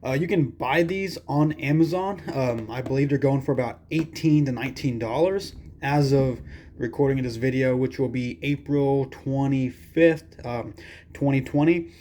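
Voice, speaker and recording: Male product reviewer, soft-edged warm timbre, mid-pitched, informal and rambling, hesitant delivery. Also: normal speed, a little hiss or hum. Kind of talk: casual hands-on product review.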